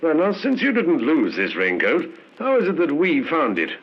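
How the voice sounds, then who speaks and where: Dry shrewd police inspector, old radio drama, very up and down intonation, muffled sound. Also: male voice, fairly high pitch, huge pitch swings, normal speed, slightly rough, heavily acted. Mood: dry, probing. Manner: dry, probing, quietly commanding. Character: middle-aged, shrewd, unhurried police inspector. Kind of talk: 1950s radio drama acting.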